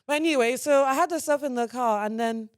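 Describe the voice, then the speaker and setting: A woman's voice: sits high, a little fast, no room sound, studio quality. A young woman from Nigeria, a podcast guest telling stories in casual chat and laughing often. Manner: laughs often, relaxed storytelling.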